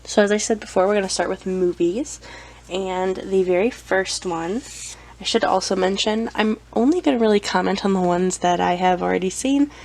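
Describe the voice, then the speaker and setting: Young adult woman, vlogger with a cold; gravelly, chatty, relaxed show-and-tell. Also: medium pitch, normal speed. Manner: chatty, with frequent ums and self-corrections. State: has a cold. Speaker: collector showing her anime collection. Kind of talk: casual show-and-tell vlog.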